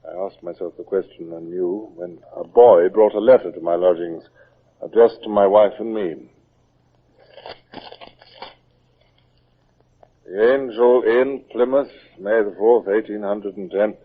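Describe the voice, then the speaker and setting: A stern, dutiful British sea captain in an old radio play. His voice is low and slightly husky, clipped and authoritative. The recording is muffled and very narrow, like vintage radio. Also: normal speed. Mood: brooding, reflective. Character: stern but humane naval captain, brooding and dutiful. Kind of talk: old-time radio drama acting.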